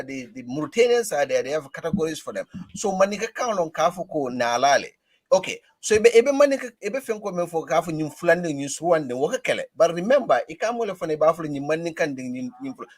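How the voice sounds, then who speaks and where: A man's voice: fairly high pitch, no echo. A man from Gambia on a talk show panel, arguing his points animatedly. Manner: animated, argumentative, laughs loudly. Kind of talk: panel discussion, mixing English and a local language.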